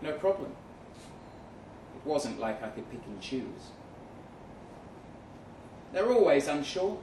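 Male story reader, unhurried, dramatic pauses, echoey room, distant mic. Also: on the high side, big pitch swings, darkish tone. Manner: deliberate, measured with dramatic pauses. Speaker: short story reader at a live event. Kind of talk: dramatic first-person literary reading. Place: event room in a pub. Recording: roomy, distant mic with faint noise.

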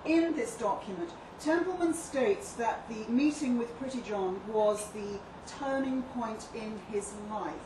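A woman playing an earnest, articulate author giving an interview, read live as a measured, deliberate dramatic monologue. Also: on the high side, close to an everyday voice. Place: live reading in a pub venue. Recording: distant echoey live room, noisy background.

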